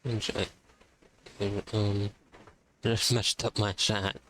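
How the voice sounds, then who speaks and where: Low-voiced man casually livestreaming a game. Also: level tone, slow pace, a bit bright, a little hiss or hum. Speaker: video game streamer. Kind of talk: casual livestream chat while playing. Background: music.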